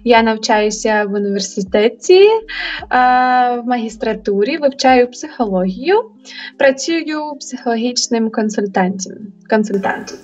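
A woman, speaking on a video call with hesitant pauses and fillers. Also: fairly high pitch, expressive, darkish tone, far from the mic. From eastern Ukraine. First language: Russian. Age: 20s. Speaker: guest. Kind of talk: casual video call chat. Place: home room, indoors. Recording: dull video call audio.

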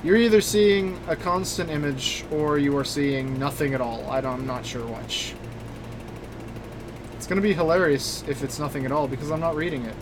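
A gaming video host giving casual, rambling, joking commentary, excitable and given to swearing. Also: mid-range voice, normal speed, background noise. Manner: excitable, with bursts of exclamation. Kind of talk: casual let's play commentary. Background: loud video game music.

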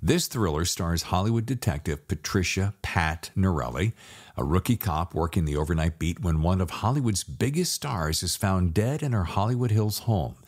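A man's voice: sits low, expressive, moderate pace. A middle-aged American man hosting a podcast in an upbeat, presenter-like style. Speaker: podcast host and thriller author. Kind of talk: upbeat scripted podcast narration and interview hosting.

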